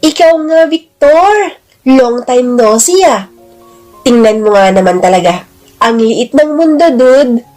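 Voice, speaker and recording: A woman's voice: on the high side, animated, slow, a little mellow, soft background music. A woman narrator playing a bold, flirtatious single woman who talks in a brash, slangy, playfully scolding way in an audio drama. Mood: excited, surprised.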